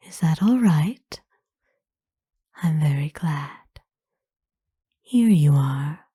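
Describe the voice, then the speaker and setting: Casting: woman as ancient vampire noblewoman for ASMR roleplay, very dark soft tone, breathy whisper, kind and formal, unhurried. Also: low-pitched, animated, no room sound, clean studio sound, full character voice. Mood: warm, gentle. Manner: formal, slow, tender, hesitant pauses. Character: kind, courtly centuries-old female vampire owner, gently doting. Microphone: close dry microphone.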